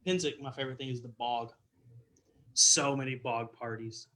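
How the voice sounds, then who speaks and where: A man's voice: medium pitch, steady tone, moderate pace, bright, forceful tone. A man with a Texas and Oklahoma Southern accent, chatting playfully and exaggerating as an interview guest. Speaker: visiting king, interview guest. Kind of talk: casual joking chat. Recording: video call.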